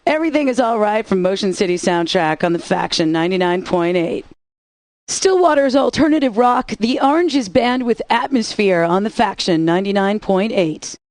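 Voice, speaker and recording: A woman's voice: on the high side, darkish tone, no echo, lightly acted. A woman playing a snarky rock radio DJ. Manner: teasing, dry and sarcastic. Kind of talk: scripted game radio DJ banter.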